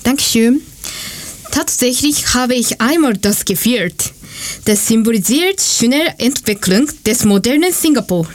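A woman's voice: fairly high pitch, animated, a little slow. A woman from Japan co-presenting in simple, careful learner German. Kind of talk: scripted segment reading, learner German.

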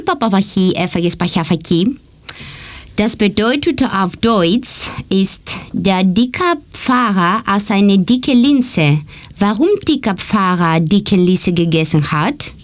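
A woman with a Greek accent taking part in a radio segment, speaking non-native German. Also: mid-range voice. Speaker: radio show participant.

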